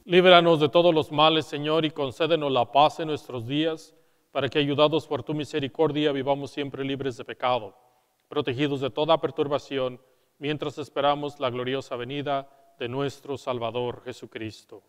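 Smooth-voiced priest in a reverberant church. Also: male voice, medium pitch, fairly even intonation, moderate pace. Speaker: priest celebrating Mass. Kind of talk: liturgical prayer recitation. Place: large church interior, nearly empty.

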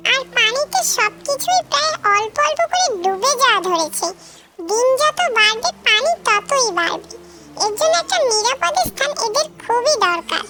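An extremely high-voiced little village boy played by a woman talks eagerly and earnestly. It is kindly cartoon acting, with loud children's music behind. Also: very animated, moderate pace. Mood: earnest, concerned.

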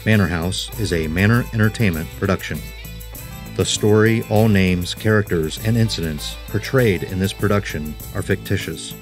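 A man reading a scripted outro and credits as announcer, formal and measured. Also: low-pitched, faint music behind.

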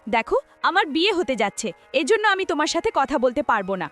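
A woman's voice: high voice, very animated, a little fast, fairly bright tone, faint music behind. A woman playing the vengeful ghost of a jilted young woman in a horror cartoon, dramatic, accusing and menacing. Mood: hurt, angry. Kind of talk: melodramatic horror cartoon dialogue.

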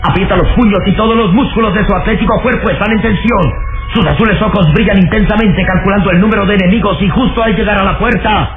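An actor narrating an adventure radio serial in a dramatic, emphatic and suspenseful style. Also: male voice, sits high, quick pace. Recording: muffled old radio recording, music behind.

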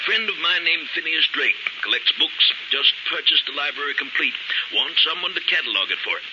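A man's voice: a little high, expressive, fairly quick, natural voice. Guy acting as jolly teasing old uncle, fussy, muffled hissy 1940s radio drama. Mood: hearty. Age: older. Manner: hearty, chuckling, fussy old-man phrasing. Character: jovial, teasing, crippled old uncle, hearty and indulgent.

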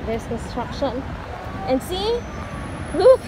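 A woman's voice: high voice, sing-song, slow. A woman with a Filipino accent chatting casually as a vlogger, switching between languages as she goes. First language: Filipino (Tagalog or Visayan). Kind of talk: casual walking vlog chat, code-switching. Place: outdoors on city streets and in a busy mall food court. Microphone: handheld phone. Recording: noisy outdoor street and mall ambience.

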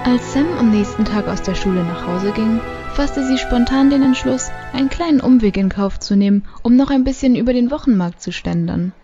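A woman's voice: fairly high pitch, fairly quick, few pauses, music in the background, close to an everyday voice. A woman narrating a children's audio drama as a scripted storyteller. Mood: storytelling. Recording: slightly dull recording.

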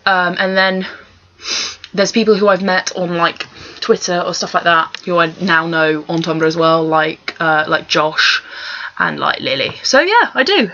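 A woman's voice: lowish voice, a little slow, bright, forceful tone. A young woman with a posh English Home Counties accent from Surrey, chatting casually in a wry, rambling, sweary way at her webcam. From Surrey, England. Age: young adult. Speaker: vlogger. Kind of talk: casual chat answering accent challenge questions. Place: small bedroom, indoors. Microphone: laptop webcam at desk distance. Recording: dull lo-fi webcam sound.

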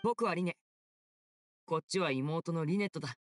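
A man playing a polite, gentle, self-possessed young stage magician in an anime game, courteous and reassuring. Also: a little high, normal speed, dry recording, clean studio sound, close to an everyday voice.

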